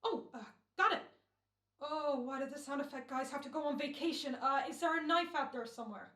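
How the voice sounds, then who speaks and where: A woman playing a brisk, bossy, wry and self-assured radio director in an old-time comedy radio play. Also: sits high, bright, forceful tone, roomy sound. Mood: bossy, impatient.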